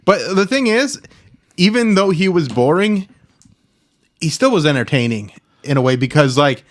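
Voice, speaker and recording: A man's voice: fairly high pitch, sing-song, moderate pace. A man hosting a podcast in casual chat, with a loose, informal way of talking. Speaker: podcast host.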